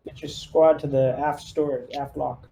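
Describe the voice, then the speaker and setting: Mellow-voiced man playing a tabletop game. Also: fairly high pitch, level tone, moderate pace, gravelly, slight background noise, poor audio. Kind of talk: casual game-table chat.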